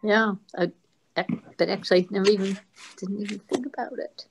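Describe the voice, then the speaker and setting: A woman speaking haltingly and repeating words as she shares a thought in a casual group discussion on a video call. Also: medium pitch, slight background noise. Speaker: discussion participant.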